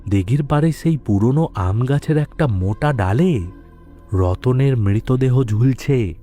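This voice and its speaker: A man's voice: mid-range voice, expressive, slow, mellow. A man narrating a scripted story dramatically, with music behind his voice. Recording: background music under the voice.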